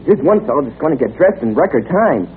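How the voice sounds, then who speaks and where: An actor playing an eager, hungry schoolboy in a 1940s radio advertising sketch. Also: male voice, fairly high pitch, very animated, quick pace. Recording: tinny, noisy 1940s radio recording.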